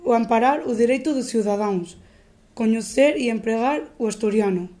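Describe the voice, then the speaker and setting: Teen girl, Portuguese native, careful reader, slightly high, mellow. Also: normal speed. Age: teens. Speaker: student presenter. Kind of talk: reading a translation aloud. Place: school library.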